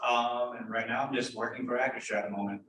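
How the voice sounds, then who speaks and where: A man's voice: mid-range voice, steady tone, fast talker, a bit bright. A man in his twenties from China introduces himself hesitantly, with many fillers. He sounds distant in an echoey room, with faint background noise. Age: 20s. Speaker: guest.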